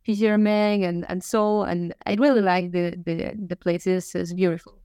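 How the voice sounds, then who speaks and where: A woman's voice: on the low side, level tone, moderate pace, warm tone, clean tone, dry recording, clean studio sound. A woman from Spain with a Spanish accent, a native Spanish speaker, talking conversationally as a podcast co-host. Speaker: co-host, engineering professor.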